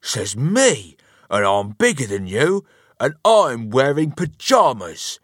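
Man acting a loud, blustering storybook husband. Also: mid-range voice, very animated, very slow, no room sound, clean studio sound, voice pushed up, exaggerated voice. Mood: stubborn. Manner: blustering, demanding. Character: loud, bossy, foolish husband, wailing and blustering.

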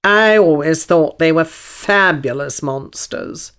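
A woman voicing a haughty, dreamy male unicorn in a storybook, speaking in a drawn-out, imperious way. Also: low voice, fairly bright tone, clean tone. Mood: dreamy wonder.

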